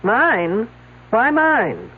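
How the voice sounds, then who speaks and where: A hard-nosed lady detective with a husky, swooping voice, pressing for answers. It is radio mystery acting on a muffled old broadcast recording. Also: fairly high pitch, wildly swooping pitch, drawn-out words, exaggerated voice. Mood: exasperated, urgent. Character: brusque, no-nonsense lady detective questioning suspects. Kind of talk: 1970s radio drama acting.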